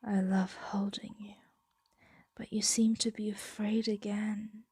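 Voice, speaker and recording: A woman playing a possessive, tender dragon in an ASMR roleplay, speaking softly and intimately, often in a breathy whisper, close to the microphone. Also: mid-range voice, level tone, no room sound. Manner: slow, intimate, coaxing. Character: possessive, tender dragon hoarding a human pet.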